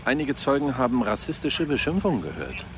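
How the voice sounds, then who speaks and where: An actor playing a stern, probing police officer in radio drama dialogue. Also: male voice, mid-range voice, sing-song, fairly quick, natural voice. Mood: stern questioning. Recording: noisy outdoor street scene. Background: urban outdoor ambience, vehicles.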